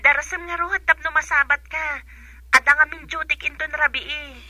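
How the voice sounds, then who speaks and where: A woman's voice: high voice, steady tone, moderate pace, pushed and bright. A young woman with an Ilocano accent playing a dutiful young nurse in a radio drama. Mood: friendly, upbeat.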